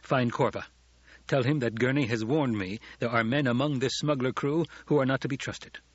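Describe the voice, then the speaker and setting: Young commander of desert fighters voiced by a man: measured, assured, husky mid-pitched voice, very little room sound. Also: no echo, muffled recording, natural voice. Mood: commanding. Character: calm, commanding young male desert leader. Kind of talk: audiobook character dialogue.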